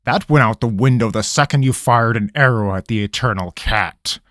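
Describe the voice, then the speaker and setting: A man doing a low, menacing eternal cat. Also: moderate pace, a bit bright, dry recording, studio quality, natural voice. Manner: low, dangerous, hissing. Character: eternal female cat spirit, cold and menacing.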